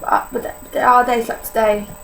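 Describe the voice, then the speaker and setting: A woman's voice: a little high, little pitch movement, brisk, fairly bright tone, a little hiss or hum, rough recording. An eighteen-year-old woman from Poland with a Polish accent, talking candidly to camera in a personal vlog, with many fillers. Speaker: vlogger.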